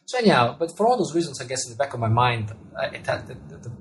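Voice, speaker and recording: A man's voice: mid-range voice, big pitch swings, racing. A man with an Italian accent, an author answering interview questions thoughtfully, often repeating words and restarting his sentences. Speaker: author and astronomer.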